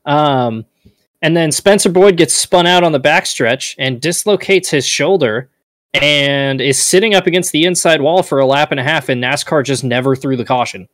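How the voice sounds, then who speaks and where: A man with a slight Southern accent, talking on a casual racing podcast. He rants in an animated, exasperated way, and the recording is close and dry. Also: medium pitch, dry recording. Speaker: podcast co-host. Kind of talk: casual motorsport chat.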